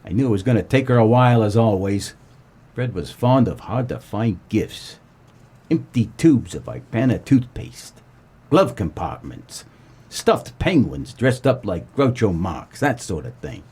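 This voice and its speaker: Man playing a husky wisecracking noir private eye. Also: lowish voice, a little slow, full character voice. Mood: wry. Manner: wry, deadpan, colloquial. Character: wisecracking, world-weary male 1940s private eye. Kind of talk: comedic noir radio play narration.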